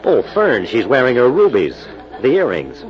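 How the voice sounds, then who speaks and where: A man's voice: mid-range voice, huge pitch swings, moderate pace, a crowd in the background. An actor playing a suave, genial man-about-town with a wry, urbane manner in a 1940s radio drama. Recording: muffled old radio recording. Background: music.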